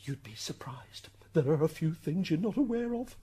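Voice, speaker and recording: A woman's voice: deep voice, expressive, moderate pace, dark tone, a little hiss or hum. A woman playing a wry, fussy, easily flustered defence advocate in heaven's court for a radio drama. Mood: wry, confiding. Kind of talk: amateur radio drama acting.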